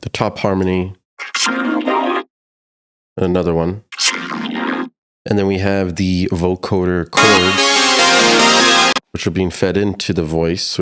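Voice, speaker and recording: Low-pitched man giving a casual tutorial. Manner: informal, explains step by step. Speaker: music production tutor.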